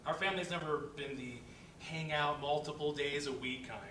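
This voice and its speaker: A man's voice: moderate pace, crowd noise, close to an everyday voice. A man playing a wry, outspoken office worker in a dramatic reading of a play, speaking in a conversational, emphatic way. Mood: subdued, earnest. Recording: echoey room, distant mic, rumbling noise.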